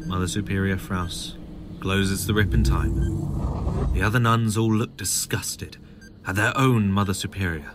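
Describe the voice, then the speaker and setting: A man's voice: low voice, fairly even intonation, measured pace, heavy background noise. A man reading scripted narration for an audio drama, describing the scene as a storyteller. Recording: music behind the voice.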